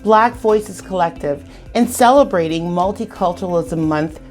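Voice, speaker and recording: A woman hosting a virtual community event, reading a measured scripted introduction. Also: on the low side, darkish tone, faint music behind. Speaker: event host.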